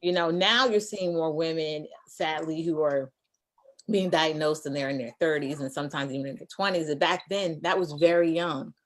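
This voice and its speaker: A woman in her thirties hosting a casual chat, talkative and teasing, with quick side notes. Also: low voice. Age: 30s. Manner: chatty, teasing, quick asides. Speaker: host.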